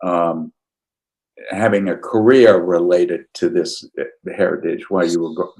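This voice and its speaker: A man interviewing for an oral history archive, offering short acknowledgements and asking halting questions. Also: low-pitched, steady tone, darkish tone, low-quality recording. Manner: brief encouraging backchannels and halting questions.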